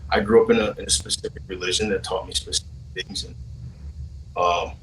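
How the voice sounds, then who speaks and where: 40s guy from hawaii, low voice, kinda far from the mic, stops and restarts a lot, easygoing slang. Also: fairly even intonation, a little hiss or hum. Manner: halting, many restarts and fillers, laid-back slang. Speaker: guest telling his conversion story. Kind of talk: casual storytelling.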